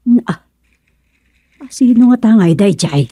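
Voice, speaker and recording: A woman's voice: a little high, expressive, measured pace, dark tone, husky, dry recording, studio quality, faint music behind. A woman playing a fussing, inquisitive village wife in a radio drama, questioning and exclaiming. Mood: concerned.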